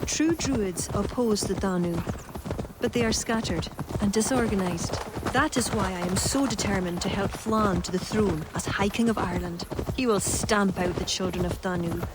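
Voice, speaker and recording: A woman playing an earnest, determined adviser to a king in a video game, speaking in a measured, formal way. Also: mid-range voice, lightly acted. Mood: composed, explanatory. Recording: game audio over clear outdoor noise.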